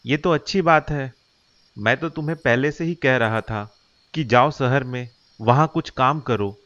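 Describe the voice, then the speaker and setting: A supportive village father , acted by a man in a cartoon moral story. He speaks reassuringly at a medium pitch, as a parent to a grown child. The recording is somewhat dull. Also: a little slow, fairly bright tone, no echo, lightly acted.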